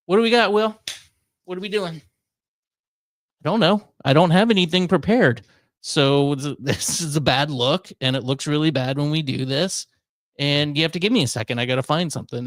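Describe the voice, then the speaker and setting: A man's voice: medium pitch, no room sound, studio quality. A man hosting a radio show, talking in casual conversation. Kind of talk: casual chat, airing workplace grievances.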